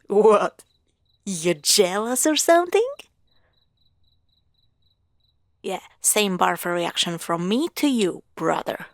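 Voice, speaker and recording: A woman playing a playful, sarcastic young girlfriend in a spooky roleplay audio drama, teasing and expressive. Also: mid-range voice, slow, no room sound, studio quality. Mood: snarky bickering. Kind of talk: spooky roleplay audio drama, acted one-sided dialogue.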